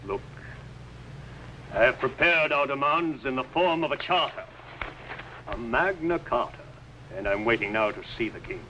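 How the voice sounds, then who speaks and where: An actor playing a resolute, solemn medieval archbishop, with formal, declamatory speech in 1950s television drama style. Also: male voice, medium pitch, animated, normal speed, pauses often, bright, forceful tone. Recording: faintly noisy old TV soundtrack.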